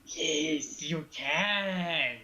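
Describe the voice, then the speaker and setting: A man voicing a villainous Dark Lord and his orcs, deliberate and sluggish, in a fan fiction reading. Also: a little high, heavily acted. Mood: slow, villainous. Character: villainous Dark Lord and orc henchmen, slow. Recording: dull lo-fi microphone.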